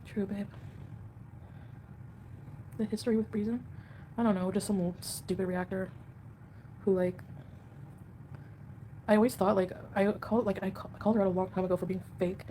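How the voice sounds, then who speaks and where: A woman's voice: mid-range voice, fairly even intonation, normal speed, pauses often, mellow, a little hiss or hum. A woman hosting a casual livestream at home, chatting with viewers and answering comments in a rambling, defensive way. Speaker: livestream host.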